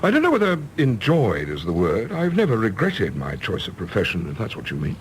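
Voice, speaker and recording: A man's voice: on the low side, huge pitch swings, fairly quick, a little hiss or hum, rough recording. A man playing a stiff, proud, disapproving English diplomat in a radio drama, speaking formally and pompously in a clipped English accent. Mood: reserved, aloof. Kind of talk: British stage-play radio drama acting.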